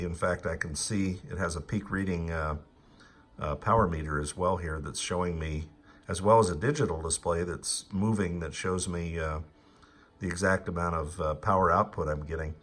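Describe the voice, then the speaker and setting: A man talking casually as an amateur radio operator, explaining gear technically in a halting, hesitant way. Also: low voice, fairly bright tone. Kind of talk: casual ham radio chat.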